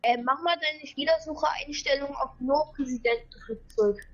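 A woman talks in casual gaming voice chat with a fairly high voice. Her manner is blunt and full of slang. Clear noise runs through the recording. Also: normal speed, lo-fi sound. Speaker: co-player.

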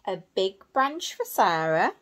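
A woman in her thirties reading a picture book to small children in simple, expressive phrases, adding little explanations as a teacher would. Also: mid-range voice, takes it slowly. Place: small indoor room at home.

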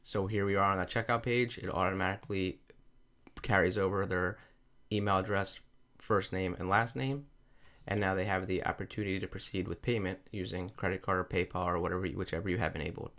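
A man talking through a software tutorial over a screen recording, explaining each step plainly. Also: low-pitched, steady tone, a little fast. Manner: plain step-by-step explaining, repeats "you're gonna".

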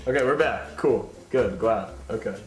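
A man's voice: on the low side, normal speed, echoey room. A young man co-hosting a casual live stream, reading out fan questions in a laid-back, joking way. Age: young adult. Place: indoor studio room with piano and guitar.

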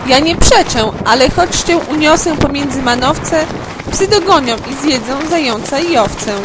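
Woman playing an evasive animal, high and swooping. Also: lively intonation, moderate pace, very noisy. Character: evasive ox and sheep, making excuses. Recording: homemade recording over loud music.

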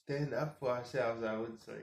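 A man in his thirties whose first language is Afrikaans, talking quietly to camera in his home kitchen and thinking out loud with lots of fillers. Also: mid-range voice, steady tone, moderate pace, gravelly, roomy sound. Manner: subdued, keeps voice down so as not to wake the household. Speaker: vlogger. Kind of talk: casual monologue to camera.

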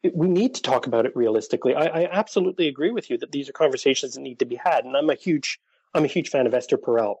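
A man's voice: medium pitch, expressive, racing, darkish tone, husky, no room sound, lo-fi sound. A man who is a podcast guest, speaking earnestly and searching for words in a casual interview. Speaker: podcast guest, dating coach for widowers.

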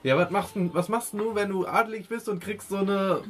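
A man's voice: high voice, a little fast, soft background music. A man with a German accent, casually bantering in character as a tabletop role-player.

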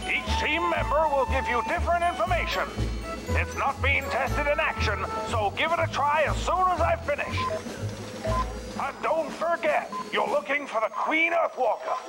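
High-voiced, commanding hound-dog general. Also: male voice, lively intonation, normal speed. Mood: businesslike. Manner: clipped military, commanding. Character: stern, authoritative old hound-dog army general. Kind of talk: video game mission briefing. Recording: music playing behind the voice. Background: game music.

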